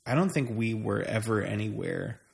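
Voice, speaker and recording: An American man speaks in a fairly low register with plenty of vocal fry. He chats casually and somewhat hesitantly, working out his thoughts as he says them. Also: moderate pace. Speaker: conversation partner swapping travel stories. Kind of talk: casual chat.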